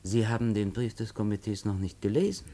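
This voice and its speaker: An actor playing a stern, calculating revolutionary commissioner in a radio play, speaking in a deliberate, declamatory way with pauses. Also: male voice, lowish voice, dark tone. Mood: businesslike, conspiratorial. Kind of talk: German radio play acting.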